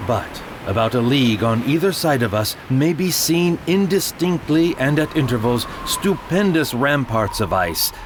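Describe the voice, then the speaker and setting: A man's voice: medium pitch, noisy background. A man narrating a first-person audio drama in a grave, theatrical manner. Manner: grave, theatrical, rising to frantic cries. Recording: music bed under the voice. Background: music.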